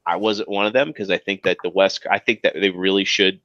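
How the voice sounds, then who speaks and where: Maryland guy rambling on a podcast, low voice, punchy tone, dry muffled audio. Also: fairly even intonation, brisk, a bit bright, no room sound. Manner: rambling, many fillers and restarts. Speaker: podcast co-host. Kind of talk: casual chat.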